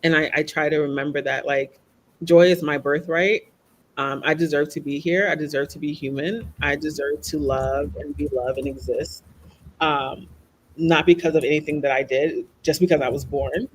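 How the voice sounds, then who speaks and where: A woman's voice: sits low, fairly even intonation, normal speed, slight background noise. A woman speaking as a podcast guest, talking openly and reflectively, often checking in with "right?". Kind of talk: candid conversational interview.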